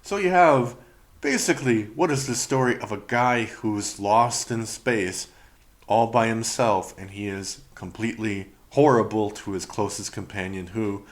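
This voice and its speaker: A man's voice: lowish voice, unhurried. A middle-aged man from Canada with a Canadian accent, chatting casually and rambling through a solo review podcast, often laughing and trailing off. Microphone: podcast microphone.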